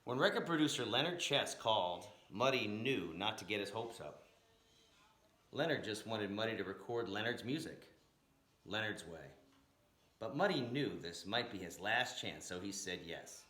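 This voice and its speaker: A man reading a story aloud in an expressive, dramatic narrating style with emphatic, rhythmic phrasing. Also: fairly low pitch, moderate pace. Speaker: storyteller reading a picture book aloud, blues educator. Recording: roomy, echoing room sound.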